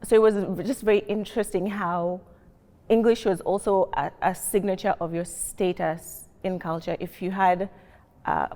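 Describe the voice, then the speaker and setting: A woman's voice: lowish voice, a little mellow. A woman from Kenya with a Kenyan accent, thoughtfully sharing her experiences as a panelist in a discussion. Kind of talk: reflective discussion. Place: large library reading room. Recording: long reverb of a large hall.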